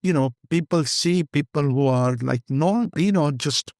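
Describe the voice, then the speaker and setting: A man's voice: fairly high pitch, unhurried, darkish tone, dry recording, clean studio sound. An older man with an Indian accent, a guest speaking candidly and rambling in an interview, slipping Hindi phrases into his English. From Kenya.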